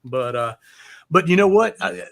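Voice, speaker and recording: A man hosting a live music chat, talking casually and haltingly with loose swearing. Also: sits high, expressive, creaky, a bit bright. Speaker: host of a live music talk show.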